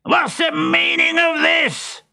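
A man's voice: very high-pitched, fairly even intonation, takes it slowly, creaky, bright, forceful tone, voice pushed up, exaggerated voice. A man voicing an outraged, vengeful older father with a blustering, indignant manner.

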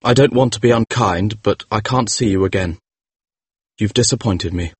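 A man voicing a cold, disdainful young gentleman who speaks in a clipped, scornful way. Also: medium pitch, sing-song, measured pace, breathy edge, no echo, pitched above the performer's own voice. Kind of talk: audiobook character voice.

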